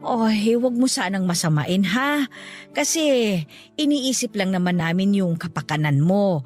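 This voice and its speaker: A woman playing a doting but insistent middle-aged mother-in-law in a radio drama, coaxing and expressive. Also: medium pitch, measured pace, lightly acted. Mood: hesitant, coaxing. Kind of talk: Tagalog radio drama acting. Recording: music bed under the voice. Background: background music.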